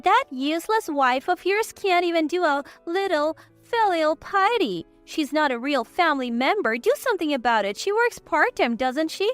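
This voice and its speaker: A woman's voice: high-pitched, animated, moderate pace, few pauses, faint music behind, pitched above the performer's own voice. A woman voicing a haughty, scolding older mother-in-law in a story, imperious and reproachful. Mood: furious.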